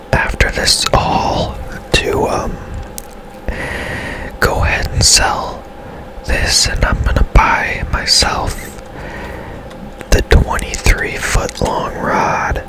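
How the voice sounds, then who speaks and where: A man's voice: slow, long pauses, sharp tone, rough recording. A man whispering casual, rambling ASMR commentary over his own gameplay, musing as he goes. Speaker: gaming streamer narrating own gameplay.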